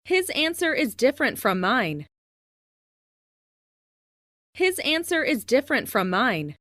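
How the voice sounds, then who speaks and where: A woman reading scripted English example sentences for language learners, enunciating carefully and deliberately. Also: high-pitched, big pitch swings, bright, forceful tone, no room sound, clean studio sound. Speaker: English lesson narrator.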